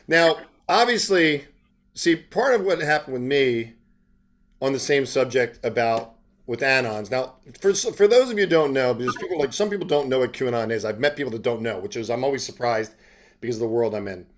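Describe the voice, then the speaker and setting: A man with a medium-pitched voice hosts an interview in a loose, conversational way. He rambles casually and often drifts into side remarks before returning to his point. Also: animated, brisk. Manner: informal, conversational, digresses into asides. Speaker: interviewer, self-styled journalist host.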